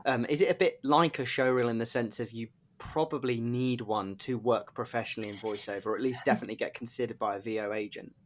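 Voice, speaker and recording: A man's voice: medium pitch, fairly even intonation, fast talker, few pauses, slight background noise. A man interviewing a guest in a casual, conversational way. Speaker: podcast interviewer.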